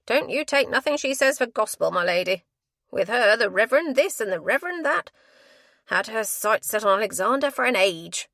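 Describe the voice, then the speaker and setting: A blunt, scornful older matron who speaks in loud, coarse, ungrammatical speech, as a woman puts her on. Her voice is fairly high and lively, and the sound is dry and close. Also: moderate pace, no echo.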